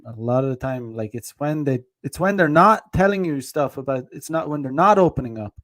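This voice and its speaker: A man's voice: mid-range voice, animated, brisk, a bit bright, no echo. Rambling man, dry close sound. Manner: rambling, heavy on fillers, laughs often. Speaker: dating advice streamer. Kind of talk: casual rambling livestream talk.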